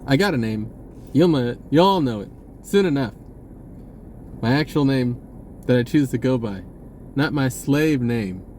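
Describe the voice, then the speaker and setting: A man talking casually and off the cuff to viewers, halting and often repeating his words. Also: medium pitch, expressive, darkish tone, slight background noise. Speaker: spiritual video blogger. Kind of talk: casual unscripted monologue to viewers.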